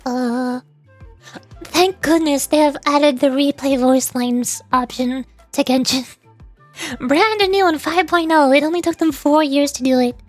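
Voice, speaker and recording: A woman's voice: high voice, a little slow, faint music behind. A woman streamer giving casual, playful, rambling commentary while she plays a video game.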